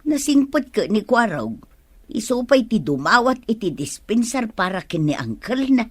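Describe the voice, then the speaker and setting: A woman's voice: a little high, sing-song, moderate pace, husky. An actress playing an indignant, gossipy, opinionated village woman in a radio comedy drama, with an Ilocano Filipino accent. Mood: prying.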